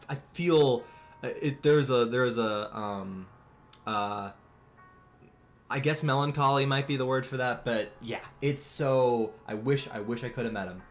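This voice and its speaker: A man's voice: mid-range voice, a little hiss or hum. A man in his twenties chatting casually on a live art stream, rambling with many fillers and restarts. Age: 20s. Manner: rambling, frequent fillers and restarts, chuckles often. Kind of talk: casual live-stream chat while drawing. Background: faint background music.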